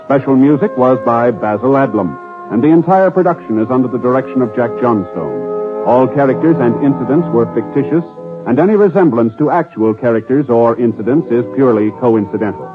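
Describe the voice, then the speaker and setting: A man giving a formal network announcement and credits in crisp, 1950s announcer style. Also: mid-range voice, lively intonation, fairly quick. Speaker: radio announcer. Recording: tinny old radio broadcast with music.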